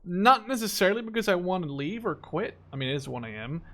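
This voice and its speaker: A man's voice: high voice, big pitch swings, fast talker, bright, forceful tone. A man in his twenties, a native Dutch speaker, giving casual gameplay commentary. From Canada-based, Dutch. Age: 20s. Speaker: gaming video creator. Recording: game gunfire behind the voice. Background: strategy game battle sounds and gunfire.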